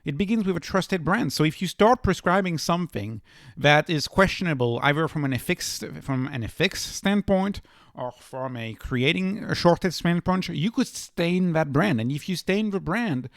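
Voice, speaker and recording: A man's voice: on the high side, lively intonation, moderate pace, dry recording. Man giving emphatic financial commentary, dry recording. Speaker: host of a stock investing channel.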